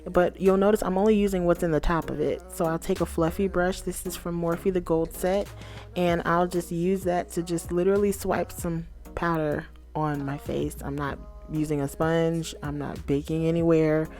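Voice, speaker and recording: A beauty vlogger woman with a slightly low voice walks through her makeup routine. She keeps it casual and chatty, talking straight to the camera in an upbeat way. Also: steady tone, normal speed, a little mellow, soft background music.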